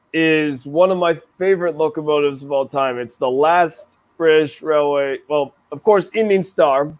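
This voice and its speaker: Casual stream guest, man, slightly high voice, chatty, laughs a lot. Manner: chatty, laughs often, restarts sentences. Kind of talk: casual chat.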